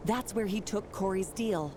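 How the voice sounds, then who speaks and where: A woman's voice: medium pitch, moderate pace, background noise, close to an everyday voice. An actress playing a worried, determined mother in a video game, thinking aloud as she searches. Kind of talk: video game character acting.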